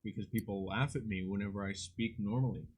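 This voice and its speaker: A man's voice: lowish voice, brisk, darkish tone, husky. A man with an Albertan Canadian accent, the host's roommate, chatting and teasing playfully. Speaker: streamer's roommate. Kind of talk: casual stream chat.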